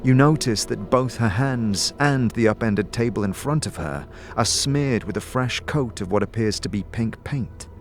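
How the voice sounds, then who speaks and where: A man's voice: mid-range voice, moderate pace, hardly any pauses. A man narrating a fantasy audio drama in the second person, in a descriptive, dramatic storytelling manner.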